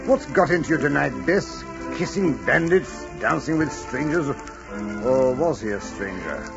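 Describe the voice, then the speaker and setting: High-pitched, suspicious gentleman suitor. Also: animated, measured pace, creaky. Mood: indignant, suspicious. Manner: clipped, indignant questioning. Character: suspicious, possessive gentleman suitor, imperious and agitated. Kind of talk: 1940s radio drama acting. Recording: dull 1940s radio recording, music behind.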